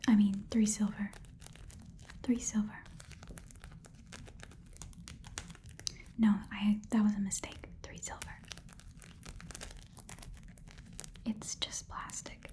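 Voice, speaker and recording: A woman's voice: mid-range voice, level tone, normal speed, mellow, close to an everyday voice. A woman playing a small, blunt goblin pawnshop keeper in a casual, muttering ASMR roleplay whisper. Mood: haggling, dismissive. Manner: rambling, casual, muttering, slangy. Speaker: ASMR performer playing a shopkeeper.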